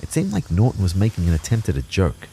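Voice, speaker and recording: A man's voice: deep voice, wildly swooping pitch, fast, mellow, slight background noise, heavily acted. A man playing an anxious, introspective man who narrates his own story in a reflective, wry way for an audio drama. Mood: wry, uneasy. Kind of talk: first-person scripted audio drama narration.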